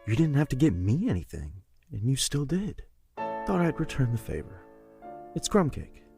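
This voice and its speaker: A shy young admirer in an audio drama. His talk is casual and hesitant, with kindness under it and a widely moving pitch. Music plays clearly behind him. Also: huge pitch swings, moderate pace. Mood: awkward, kind. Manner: hesitant, low-key, casual. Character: shy, awkward young man, gruffly kind admirer.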